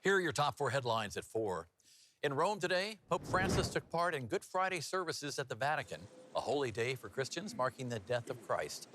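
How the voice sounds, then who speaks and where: A man's voice: medium pitch, big pitch swings, moderate pace, fairly bright tone, a little hiss or hum. An American man anchoring a local TV newscast in an upbeat, chatty manner. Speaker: TV news anchor. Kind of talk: local TV newscast reading with casual banter.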